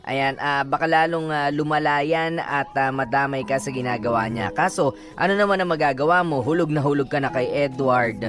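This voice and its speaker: A man's voice: fairly high pitch, moderate pace. A man with a Filipino accent co-hosting a radio show, chatting casually and giving listeners blunt, teasing advice. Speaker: radio DJ co-host. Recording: music bed behind the voice.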